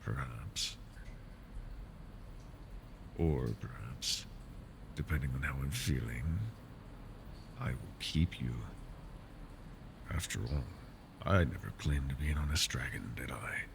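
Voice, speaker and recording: A man voicing a possessive, scheming male dragon in an ASMR roleplay, teasing and drifting into soft whispers. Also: slow, long pauses, noisy background, exaggerated voice. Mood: teasing, menacing. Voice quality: gravelly, low.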